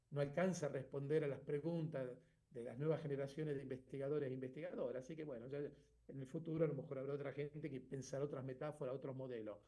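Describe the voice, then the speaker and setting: A middle-aged man speaks as an author explaining his own work, in a slightly high but warm, mellow voice. He reasons step by step, pausing on fillers and checking in with the listener through frequent tag questions.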